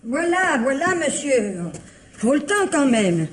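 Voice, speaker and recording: High-voiced grumbling maid. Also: female voice, big pitch swings, slow pace, slight background noise, heavily acted. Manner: grumbling back at employer. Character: cheeky grumbling female household servant. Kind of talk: French radio mystery drama acting.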